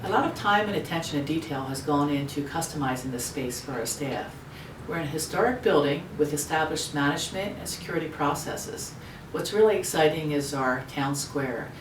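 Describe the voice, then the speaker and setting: A woman with a low voice runs through plans precisely, with an eye for detail. She is off-mic in an echoey room, with a steady rumble behind. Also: a little fast, far from the mic. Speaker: relocation project manager. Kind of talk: prepared interview statement.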